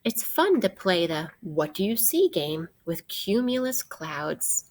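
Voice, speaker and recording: Slow-paced woman reading aloud to children. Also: fairly low pitch, big pitch swings, darkish tone. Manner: deliberate, questioning, addresses young listeners directly. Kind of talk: interactive children's read-aloud of a science book.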